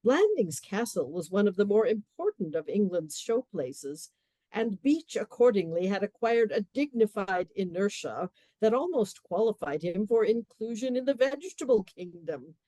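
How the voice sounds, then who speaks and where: A woman narrating a comic period radio play with measured, wry storytelling. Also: mid-range voice, sing-song, warm tone, no room sound.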